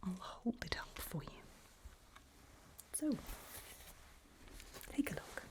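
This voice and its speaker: A woman doing a whispered ASMR doctor roleplay, speaking breathily in gentle, reassuring, halting short phrases close to the microphone. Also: medium pitch, sing-song. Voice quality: breathy, mostly unvoiced whisper. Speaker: ASMR performer role-playing a doctor giving a memory test.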